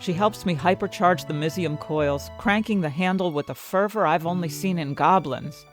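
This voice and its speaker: A woman's voice: fairly low pitch, normal speed, close to an everyday voice. A woman playing an ambitious, indignant fantasy inventor, narrating her own story expressively and articulately in an audio drama. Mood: focused. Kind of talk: first-person dramatic audio fiction narration. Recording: music bed behind the voice.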